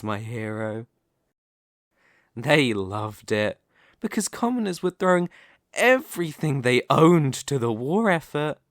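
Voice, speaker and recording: A man's voice: medium pitch, no echo. A man voicing a dominant, smug Demon King in an ASMR roleplay, taunting and persuasive, with a theatrical, mocking delivery close to the microphone.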